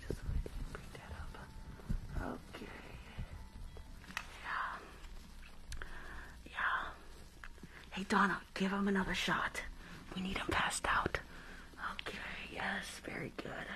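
A woman whispering close to the mic in an ASMR roleplay as a rude dentist, halting and repeating herself with dismissive reassurance. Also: medium pitch, level tone, fairly bright tone, rough recording. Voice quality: breathy whisper with creaky edges.

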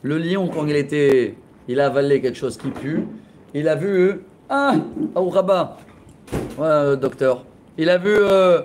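Rabbi, 40s, animated storyteller, raised pitch, webcam in a classroom with faint hiss. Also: male voice, measured pace. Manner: animated, laughs while telling stories, gestures a lot. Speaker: rabbi teaching a Torah class. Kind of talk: lively storytelling lesson. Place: classroom with bookshelves, indoors. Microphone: laptop webcam at close range.

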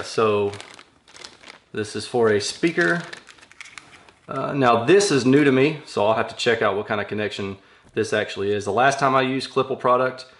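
A man's voice: fairly low pitch, a bit bright. A man in his thirties giving casual, think-aloud unboxing commentary in an informal manner, in a small workshop room. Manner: informal, thinking aloud while handling items. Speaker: product reviewer unboxing audio gear. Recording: clear crinkling and handling noise. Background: packaging crinkles, clicks, rustling.

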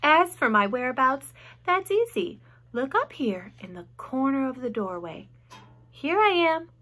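A woman voicing a kindly, self-assured female spider in a storybook, measured and gracious. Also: high voice, big pitch swings, pushed and bright, heavily acted. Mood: gracious, composed. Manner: measured, gracious, matter-of-fact.

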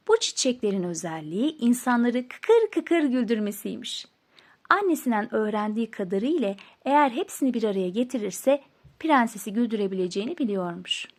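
A woman storyteller narrating a scripted fairy tale with an expressive storybook delivery. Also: on the high side.

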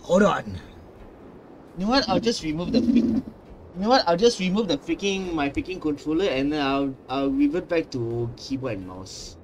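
Slow-talking male gamer over background noise. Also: fairly high pitch, animated. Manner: rambling, repeats himself. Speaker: gaming streamer. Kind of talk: casual playthrough commentary.

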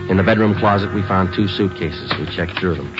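An actor playing a clipped, businesslike police sergeant who narrates the case in 1940s radio drama style. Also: male voice, low voice, fairly quick, close to an everyday voice. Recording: dull vintage radio broadcast with music.

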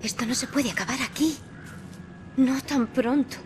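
A woman's voice: sits high, measured pace, noisy background. A woman playing a sarcastic, guarded and defiant teenage girl in a video game, speaking Castilian Spanish. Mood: hushed, frustrated. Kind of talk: video game cutscene acting. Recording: prominent music under the voice.

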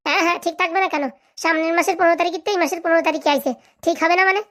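Cartoon comedy: actress as scheming, mouthy village lad, high voice, breakneck sarcastic delivery. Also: female voice, fairly even intonation, a bit bright. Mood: impatient, sarcastic. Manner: sarcastic, blunt, quick-tongued. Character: cheeky, sarcastic young village man, scheming and bossy.

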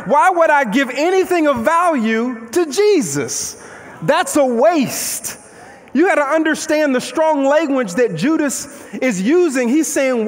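A young man preaching from a large church stage, with a strikingly high voice and reverb that hangs in the big hall. His delivery is animated and conversational, full of rhetorical questions, and he moves around as he talks. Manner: paces the stage and gestures while preaching. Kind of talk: sermon.

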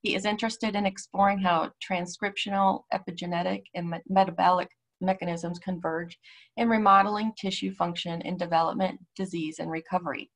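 A woman's voice: fairly low pitch, fairly even intonation, a little fast. A woman hosting an online event, reading out a speaker introduction and stumbling apologetically over some words. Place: indoors, video call.

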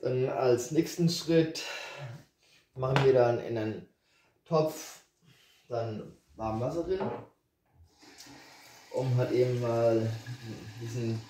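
A man with a regional German dialect chats his way through a home cooking demo, easygoing and a little rambling. His voice is mellow and sits away from the camera in a roomy kitchen. Faint background noise hums underneath. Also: mid-range voice, slow pace, long pauses, far from the mic. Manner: relaxed, rambling, with hesitations and chuckles. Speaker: home cook vlogger. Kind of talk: casual cooking tutorial. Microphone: camera set on the counter, speaker off to the side.

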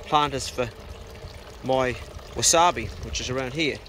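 A man's voice: mid-range voice, a little slow, slight background noise. A middle-aged man with a New Zealand accent, casually explaining his homestead jobs and coaxing his dog outdoors, recorded on a body-worn action camera. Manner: relaxed, practical, coaxing the dog with "good boy" and "mate". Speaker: homesteading vlogger. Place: outdoors on a rural homestead and in bush.

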